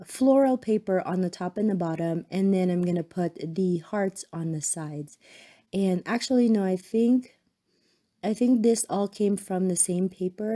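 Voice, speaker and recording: A woman with a cold, hoarse, hosting a crafting channel and chatting casually in a voice-over, rambling with many ums and self-corrections. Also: on the low side, mellow.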